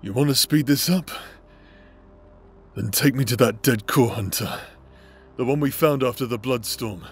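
Slow, solemn cursed warrior, acted by a man. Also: medium pitch, soft background music, natural voice. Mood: wry, defiant. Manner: solemn, wry, deliberate. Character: cursed young male warrior sworn to resist a demon. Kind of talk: fantasy audio roleplay acting.

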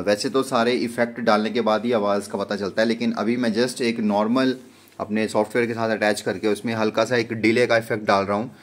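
A man in his thirties with an Indian accent explains gear step by step in a casual vlog style, recorded indoors on a phone. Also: on the low side. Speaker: vlogger and musician. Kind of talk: casual product demo vlog. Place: small home studio room, indoors.

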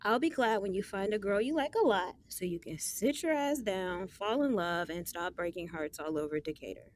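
A woman's voice: mid-range voice, animated, normal speed, a little hiss or hum, natural voice. An actress playing a guarded but smitten young woman, chatting in sassy, slangy style with her best friend in an audio drama. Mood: teasing. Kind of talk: casual conversational audio drama.

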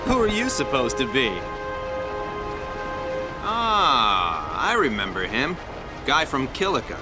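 Sneery rival jock in a video game, drawn-out and swoopy delivery, taunting, dismissive. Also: male voice, mid-range voice, wildly swooping pitch. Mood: mocking. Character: sneering, mocking male rival athlete. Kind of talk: video game cutscene acting. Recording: music behind the voice.

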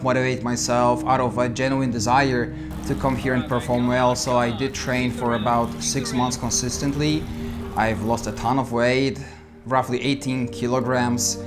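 Measured Polish-accented man over music. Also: mid-range voice. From Poland. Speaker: amateur cyclist being interviewed. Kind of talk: reflective to-camera interview. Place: indoor studio with dark backdrop.

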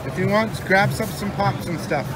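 A man's voice: high voice, moderate pace. A man filming a casual walk-around vlog in a busy convention hall, calling out what he sees. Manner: excited, calling out costumes. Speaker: vlogger. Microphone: handheld phone camera. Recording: loud crowd noise around. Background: crowd chatter.

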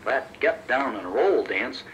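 A man voicing a silly, childlike, eager sidekick creature in a children's audio story, exclaiming and easily excited. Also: mid-range voice, slow pace, slight background noise, poor audio. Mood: cheerful, eager. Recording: promotional children's cassette tape.

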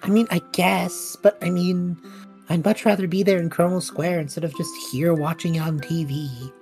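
Woman doing a low voiced boyish student guy, cheerful n earnest, audio drama, music behind. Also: steady tone, normal speed, a little mellow, lightly acted. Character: cheerful, excitable, boyish young male student, festive and earnest.

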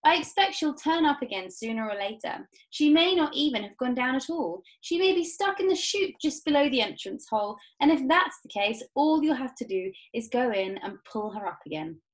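A woman voicing a blithe, eccentric male factory owner in a storybook, calm and playfully amused. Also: sits high, normal speed, hardly any pauses, pitched above the performer's own voice, rough recording. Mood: breezy, reassuring. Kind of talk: dramatic storybook reading.

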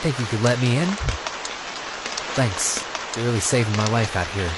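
A man's voice: medium pitch, normal speed, very noisy, lightly acted. A man playing a courteous neighbor in scripted character dialogue. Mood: polite, pleading.

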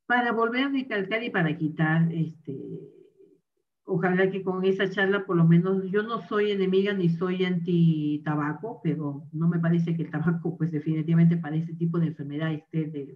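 A woman doctor giving an online medical lecture, explaining carefully and checking in with her listeners. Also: on the low side. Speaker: rheumatologist giving a talk. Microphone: video call microphone. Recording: dull distant video call audio.

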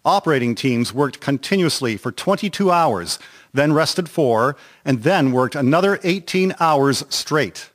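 A man narrating a historical radio drama from a script in a measured, formal reading. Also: fairly high pitch, lively intonation.

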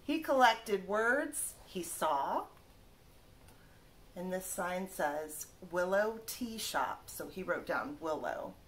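A woman's voice: lowish voice, lively intonation, slight background noise. A woman in her thirties reading a children's picture book aloud like a teacher, stressing words carefully one by one. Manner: careful, drawn-out word-by-word emphasis. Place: small living room, indoors.

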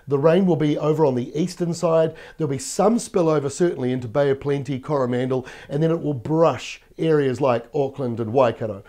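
A man in his thirties with a New Zealand accent, presenting a TV weather forecast in an upbeat, conversational way while getting over a cold that leaves his voice croaky. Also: a little high, dark tone. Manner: upbeat, conversational, explains while pointing at maps. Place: TV studio in front of a weather map screen. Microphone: lapel mic.

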